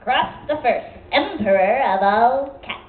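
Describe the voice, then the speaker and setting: A woman's voice: a little high, expressive, slow. A woman playing a small, dry, pedantic talking cat who calls itself an emperor, in a comic radio play. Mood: sardonic. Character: small, dry, sardonic emperor of all cats. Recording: echoey, tinny live stage recording.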